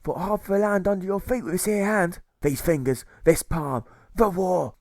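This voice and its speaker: A man voicing a gruff, volatile old sailor turned jailer in an audiobook, with a stage pirate accent and blustering, exclamatory delivery. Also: high-pitched, normal speed, dry recording, clean studio sound, pitched above the performer's own voice.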